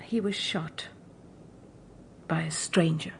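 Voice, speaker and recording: A woman's voice: low-pitched, steady tone, slow pace, gravelly, full character voice. An actress playing an anguished, devout old mother in a period audio drama, haunted by her own curse and given to whispers and cries. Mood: haunted, hushed.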